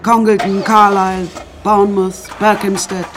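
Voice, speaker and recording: A woman's voice: medium pitch, level tone, measured pace, slight background noise, natural voice. An actress playing a vain, self-dramatizing woman artist who pompously narrates her life to listeners in a radio play. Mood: storytelling.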